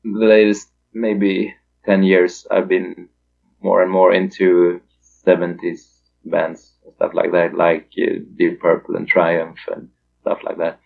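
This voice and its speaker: A man's voice: low voice, completely flat delivery, slow, creaky, narrow, tinny audio. A Swedish man in his thirties, a musician guest in a casual interview, hesitant with lots of fillers. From Sweden.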